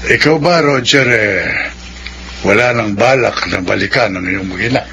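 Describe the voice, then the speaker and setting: A man's voice: fairly low pitch, normal speed, creaky. An actor playing a stern, principled older Filipino father, admonishing with emphatic moral weight in a radio drama. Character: stern, principled elderly father scolding his grown son.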